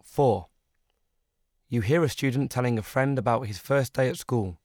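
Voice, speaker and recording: Male narrator, scripted exam read, mid pitch, dry close recording. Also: normal speed, a little mellow, no echo. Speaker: listening test narrator.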